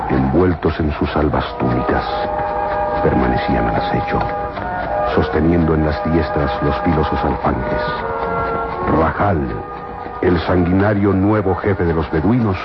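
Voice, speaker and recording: Narrador de radionovela, voz muy grave. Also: male voice, very deep voice. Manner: solemn, theatrical, grandiloquent. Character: solemn suspenseful narrator of a desert adventure serial. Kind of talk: dramatic radio serial narration. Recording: muffled old radio recording, loud music. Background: loud dramatic music.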